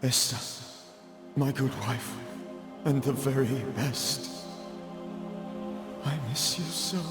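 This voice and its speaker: An actor playing the ghost of a puritan reverend in a game, solemn and pleading, with deliberate archaic phrasing. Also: male voice, medium pitch, close to an everyday voice. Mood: anguished, tender. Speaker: reverend and mentor. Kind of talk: dramatic game cutscene acting. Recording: echoey with long reverb, music behind.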